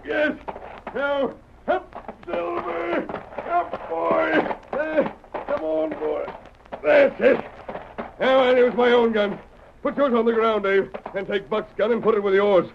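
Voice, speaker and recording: A man's voice: very high-pitched, steady tone, slow pace, creaky, heavily acted. An actor playing a principled, heroic masked man in an old-time radio western, speaking formally and firmly with an American accent. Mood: rousing, commanding. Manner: formal, firm, commanding. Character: heroic masked lawful avenger, man, calm, firm, principled. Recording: muffled old radio recording, audible noise.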